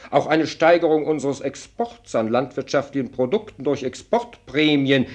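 A sardonic minister of state in a radio drama. His pitch is mid-range but moves in very lively swings, and he lectures in formal, pointed phrases with dry irony. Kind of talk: German radio play acting.

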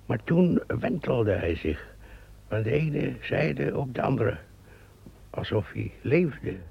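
An actor playing a brooding pond keeper who muses and stammers in a radio play. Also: male voice, medium pitch, very animated, takes it slowly, full character voice. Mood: musing. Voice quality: gravelly, raspy. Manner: musing, stammering. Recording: dull lo-fi recording.

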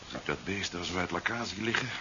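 An actor playing a grave, worried fugitive hero with dry humour in a 1970s radio play. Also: male voice, low-pitched, expressive, quick pace. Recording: muffled 1970s radio recording with hiss.

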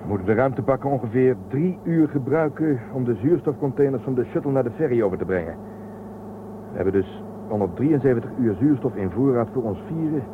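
Telsen, a cautious, methodical spaceman who works everything out step by step, in a radio play, played by an actor. His mid-pitched voice comes through a narrow, phone-like band over a steady hiss. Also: fairly quick, natural voice. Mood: calculating. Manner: methodical, calculating. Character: cautious man crew member Telsen, methodical. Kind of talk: radio drama acting. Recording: muffled phone-like sound with loud hiss.

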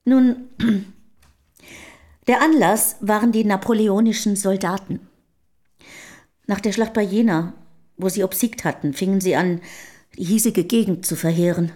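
An actress in a German radio play playing a proud, self-assured middle-aged wife of a poet, speaking in formal, measured period diction. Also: female voice, medium pitch, dark tone, close to an everyday voice. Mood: grave narration.

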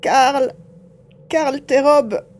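A woman playing a plucky young boy adventurer in an audio drama, with an expressive delivery. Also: high voice, extremely slow, faint music behind. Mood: pained, curious. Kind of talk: acted audio drama with inner narration.

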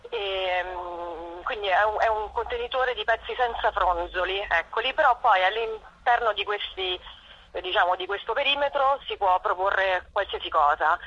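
Husky, bright-voiced woman on a dull call. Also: mid-range voice, moderate pace. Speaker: performer and show organiser, interview guest. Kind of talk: spontaneous radio interview. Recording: dull, phone-like radio call.

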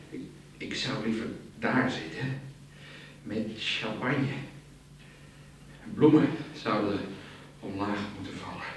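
Scheming, excitable crook, very echoey. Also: male voice, lowish voice. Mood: dreamy, murmuring. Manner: stammering repetitions, excitable, rambling. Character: scheming, money-hungry crook, dreamy schemer turning domineering. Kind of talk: 1980s radio thriller acting. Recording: very echoey, distant, faint noise.

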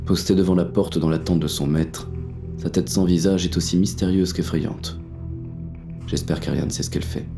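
An actor playing a wary police inspector on a burglary, narrating in a terse, tense inner monologue for an audio drama. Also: male voice, deep voice, steady tone, normal speed, vocal fry, over music. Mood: wary, focused.

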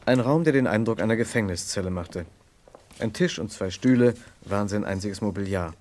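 An actor playing an earnest, troubled gentleman who narrates an old German radio crime play in a careful literary manner. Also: lowish voice, slight background noise, lightly acted. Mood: grave, narrating. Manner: measured, literary storytelling, hesitant in dialogue. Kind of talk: mid-20th-century German radio crime drama narration and acting.

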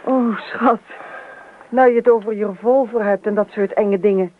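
An actress in a Dutch radio play, playing a dreamy, chatty wife who rambles on insistently and repeats herself. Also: female voice, mid-range voice, steady tone, moderate pace, lightly acted. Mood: light, chatty. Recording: tinny band-limited radio recording with hiss.

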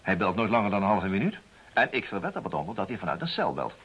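An actor playing a methodical private detective in a radio play, measured and businesslike with a wry edge. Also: male voice, lowish voice, very animated, slight background noise. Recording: dull lo-fi old radio sound.

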